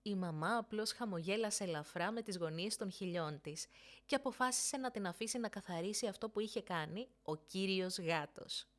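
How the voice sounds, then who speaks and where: A woman reading a children's audiobook as narrator, in an expressive scripted storytelling style. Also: mid-range voice.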